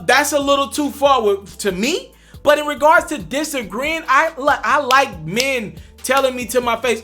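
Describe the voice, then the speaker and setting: A man's voice: very high-pitched, sharp tone. A young man hosting a commentary vlog, talking straight to his viewers with emphatic, repeated points. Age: young adult. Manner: emphatic, addresses viewers directly, repeats for stress. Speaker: commentary channel host. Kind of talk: direct-to-camera commentary.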